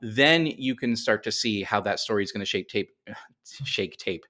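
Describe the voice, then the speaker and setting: A man's voice: fairly low pitch, moderate pace, sharp tone. A man speaking as a writer guest in a casual podcast interview, explaining things and thinking aloud as he corrects himself.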